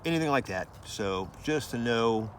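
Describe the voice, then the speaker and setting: A man's voice: medium pitch, animated, normal speed, noisy background. A man giving a casual hands-on product review, explaining things informally and loosely with some repetition.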